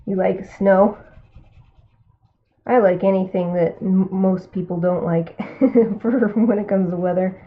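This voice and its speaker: A woman's voice: mid-range voice, fairly even intonation, takes it slowly. A woman with a Californian accent, an artist chatting casually while she paints live, musing aloud and murmuring to herself between remarks. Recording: tinny phone-like sound.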